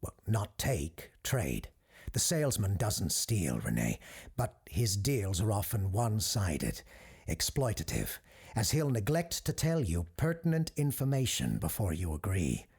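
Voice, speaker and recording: A male actor plays a learned doctor hosting a radio show in an audio drama. His voice is low and very husky, rising and falling expressively. He is careful and earnest, often hesitating as he thinks. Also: wildly swooping pitch, a little slow, a little mellow, full character voice. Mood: alarmed, earnest.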